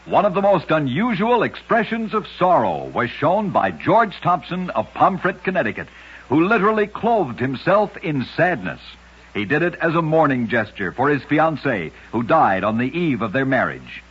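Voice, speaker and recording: Old-time radio guy narrating, kinda high husky voice, measured and dramatic, muffled sound. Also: big pitch swings. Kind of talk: scripted vintage radio narration of short odd true stories. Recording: dull, muffled vintage radio recording.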